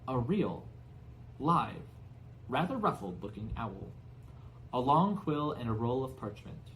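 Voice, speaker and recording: A man's voice: medium pitch, big pitch swings, slow pace, a bit bright, a little hiss or hum, rough recording. A man giving scripted narration of a storybook as an audiobook reader.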